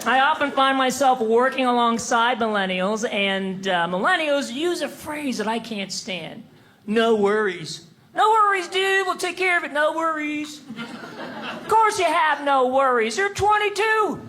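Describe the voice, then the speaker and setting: A man in his fifties doing a stand-up comedy set on a club stage, telling stories wryly and landing crisp punchlines. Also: very high-pitched, unhurried, noisy background. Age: 50s. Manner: wry, deadpan storytelling with crisp punchlines.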